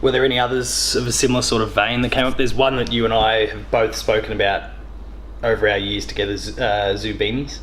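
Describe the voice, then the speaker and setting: Aussie guy hosting a chatty podcast, lowish voice, wanders through his sentences, noise in the background. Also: little pitch movement, brisk, a bit bright. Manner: informal, roundabout phrasing. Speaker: podcast host, interviewer. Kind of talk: casual interview chat.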